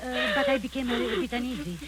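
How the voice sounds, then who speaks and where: A woman's voice: high-pitched, huge pitch swings, fast, heavy background noise. An actress playing a scheming middle-aged woman who speaks in a genteel, honeyed, overly gracious way in a vintage radio drama. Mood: sugary. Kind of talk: 1940s radio acting.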